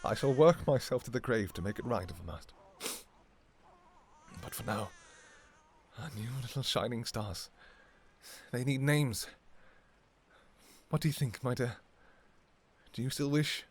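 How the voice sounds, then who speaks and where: Man playing an anguished vampire king, intensely animated. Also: medium pitch, a little hiss or hum. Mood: tearful, tender. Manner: formal archaic wording, halting, pleading. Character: anguished, devoted vampire king, obsessive lover and new father. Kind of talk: dramatic fantasy romance audio roleplay.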